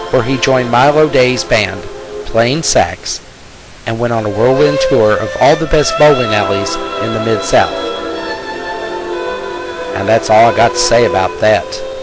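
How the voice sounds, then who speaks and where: A man's voice: mid-range voice, rough recording. A man narrating a scripted comic story in a mock-serious storytelling manner. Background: music.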